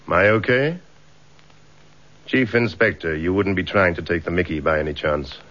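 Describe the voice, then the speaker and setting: An actor playing a gruff, sceptical English police superintendent who pulls rank, in a radio drama. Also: male voice, sits low, lively intonation, moderate pace, fairly bright tone. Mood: sceptical, irritated. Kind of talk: British radio detective drama acting. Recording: dull lo-fi radio drama recording.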